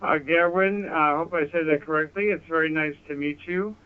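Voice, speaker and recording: Smooth American man over narrow-band radio. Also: on the high side. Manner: polite, measured, deliberate. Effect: radio transmission. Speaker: amateur radio operator. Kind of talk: ham radio contact, conversational. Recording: narrow, phone-like handheld radio audio.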